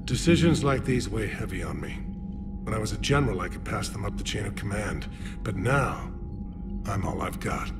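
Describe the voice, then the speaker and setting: A grave turian primarch. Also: male voice, lowish voice, expressive, over loud music. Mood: weary. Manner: measured, grave. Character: stern turian male military leader, Primarch. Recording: game score behind.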